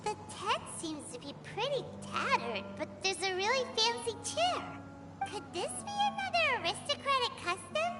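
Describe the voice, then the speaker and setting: Squeaky fairy sidekick, very high, lively swings, third-person chatter, loud game music behind. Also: female voice, moderate pace. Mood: chatty curious. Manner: speaks of herself in third person. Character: tiny chirpy female fairy companion, chatty.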